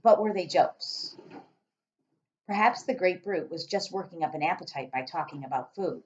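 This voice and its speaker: Female teacher narrator for a children's story: very animated, medium-pitched, slightly muffled lo-fi recording. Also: normal speed, a little hiss or hum. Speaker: teacher reading aloud to pupils.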